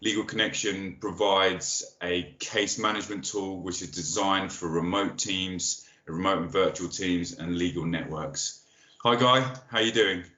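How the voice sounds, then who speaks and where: Deep, bright-toned male podcast host. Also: fairly even intonation, roomy sound, dull audio. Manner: friendly, quick when asking questions, hesitant fillers. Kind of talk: podcast interview.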